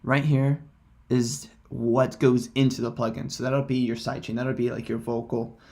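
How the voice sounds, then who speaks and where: A man presenting a casual software tutorial in hesitant, halting, unscripted phrasing. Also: mid-range voice, little pitch movement, vocal fry.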